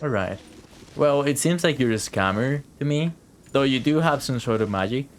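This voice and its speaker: Wry male streamer, medium pitch. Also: animated, moderate pace, a little hiss or hum. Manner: wry, sceptical, chatty. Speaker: game streamer. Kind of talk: casual live gameplay commentary.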